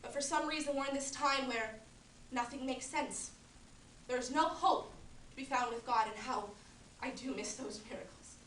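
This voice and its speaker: A woman's voice: high-pitched, normal speed, a bit bright, slight background noise, voice pushed up. A woman playing a grieving woman who longs for lost faith, declaiming solemnly in a roomy space from a distance. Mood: mournful.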